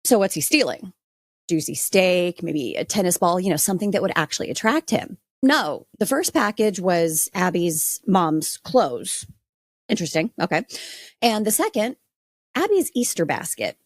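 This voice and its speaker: A woman on a podcast telling stories in a casual, slangy, animated way. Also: lowish voice, normal speed, dry recording, studio quality. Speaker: podcast panelist. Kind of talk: casual chatty storytelling.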